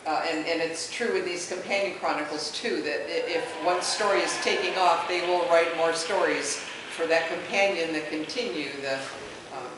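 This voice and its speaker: A woman's voice: lowish voice, moderate pace. A woman co-hosting a fan convention panel, talking informally and searching a little for her words. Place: convention panel room, indoors. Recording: very echoey, noisy room.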